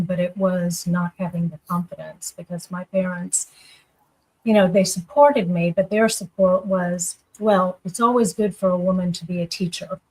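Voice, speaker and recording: A woman's voice: fairly low pitch, a little mellow, low-quality recording. A woman telling her personal story casually as a guest, in a reflective, conversational way. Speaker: guest panelist.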